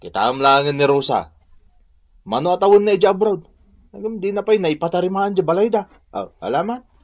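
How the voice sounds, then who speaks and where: A man's voice: high voice, lively intonation, a little fast. A man playing a nosy, sceptical village husband in a radio comedy drama, questioning and exclamatory, with a Northern Luzon Filipino accent. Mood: doubtful, surprised.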